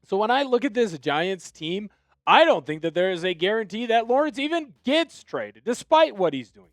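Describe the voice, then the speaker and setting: A young man hosting a sports news commentary, talking with firm opinions in a fairly high voice. His delivery swings into animated excitement at times. The sound is dry and close, with no room echo. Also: moderate pace, soft background music. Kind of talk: solo sports commentary video.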